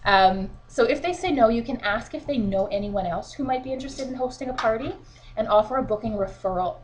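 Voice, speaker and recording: A woman's voice: fairly high pitch, fairly quick. A woman with a cold, sniffling a little, giving chatty, encouraging advice to her sales team from home. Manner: chatty and encouraging, frequent fillers. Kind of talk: casual instructional vlog. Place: at home, children beside her.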